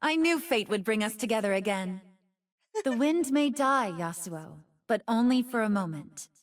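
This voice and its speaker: A woman voicing a young nine-tailed fox woman in a video game, soft-voiced, friendly and wise, with playful teasing. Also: on the high side, animated, normal speed, far from the mic, close to an everyday voice. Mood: gentle, wistful. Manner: friendly, playful, dramatic delivery. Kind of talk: video game character voice lines.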